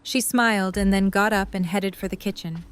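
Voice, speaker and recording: A woman's voice: medium pitch, fairly even intonation, normal speed, smooth. A woman reading scripted third-person story narration for an audio drama.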